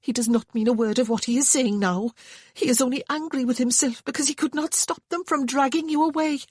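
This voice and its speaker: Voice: fairly quick, a little mellow, no echo, studio quality. A narrator voicing a frightened young Welsh woman whose voice trembles as she comforts a hurt boy, with a Welsh accent. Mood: tearful. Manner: trembling, high, pleading.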